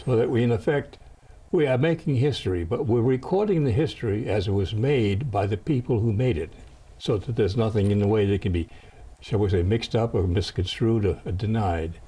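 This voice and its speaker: A man's voice: on the low side, fairly quick, warm tone, slightly rough. An older American man hosting a television interview in a folksy, encouraging way that mixes formal phrasing with slang. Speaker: host and producer of a veterans interview show.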